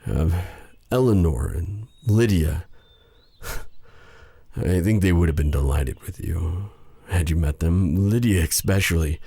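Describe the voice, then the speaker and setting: A man playing a brooding, remorseful dark sorcerer in an intimate fantasy ASMR roleplay, speaking formally and haltingly to the listener in a husky, breathy voice. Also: low-pitched, no room sound, exaggerated voice. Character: brooding, remorseful dark sorcerer, bitter yet tender captor.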